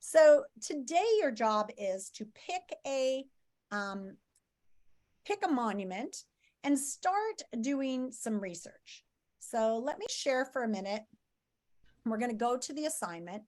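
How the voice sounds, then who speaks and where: A woman's voice: fairly high pitch, sing-song, slow pace, darkish tone. A woman teacher giving an informal, step-by-step lesson to students, thinking aloud as she explains.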